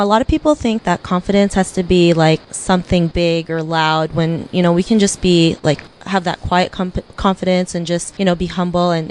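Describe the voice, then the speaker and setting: Low-pitched woman hosting an interview. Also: darkish tone, rough recording. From Philippines. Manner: reflective, with many fillers like "you know". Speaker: interview host. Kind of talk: casual interview.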